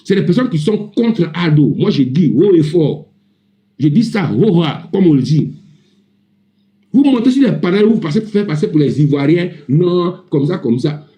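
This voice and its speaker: Ivorian male, heated panel debater, somewhat high-pitched yet very mellow-toned, insistent, repetitive, informal delivery. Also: lively intonation, normal speed. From Ivory Coast. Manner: indignant, emphatic, repetitive. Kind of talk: heated informal political rant on a live audio panel.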